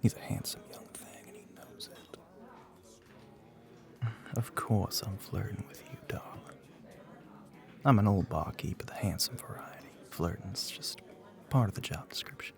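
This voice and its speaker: A man playing a flirty, middle-aged bartender with a Southern US accent and a low, gravelly voice, teasing in a folksy way in an ASMR roleplay. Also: wildly swooping pitch, a little slow, pauses often, faint music behind, exaggerated voice. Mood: flirty, smooth. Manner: drawling folksy terms of endearment, teasing.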